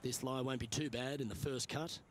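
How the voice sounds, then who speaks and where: A man playing a TV golf commentator in a video game, calling play in a measured, conversational way. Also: mid-range voice, fairly even intonation, a little hiss or hum, natural voice. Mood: measured commentary.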